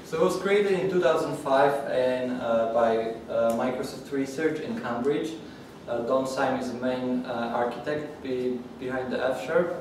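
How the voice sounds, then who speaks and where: A young man from Poland giving a technical talk to a user group, halting and full of fillers and restarts. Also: medium pitch. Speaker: conference speaker. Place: meeting room with audience. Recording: echoey, distant room mic with noise.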